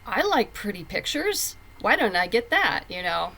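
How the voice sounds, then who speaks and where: A woman's voice: medium pitch, big pitch swings, moderate pace, vocal fry, sharp tone. A woman being interviewed as a writer, telling stories casually and emphatically, acting out quoted thoughts, with noise behind her. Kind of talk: casual conversational storytelling.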